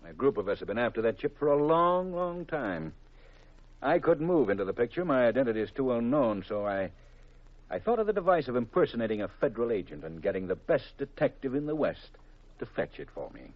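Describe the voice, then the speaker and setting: An actor playing a scheming false colonel in an old radio mystery, speaking deliberately and with cool menace. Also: male voice, mid-range voice, big pitch swings. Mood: gloating. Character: smooth-talking male villain posing as colonel, menacing, gloating. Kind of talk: 1940s-50s radio mystery acting. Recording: muffled vintage radio recording.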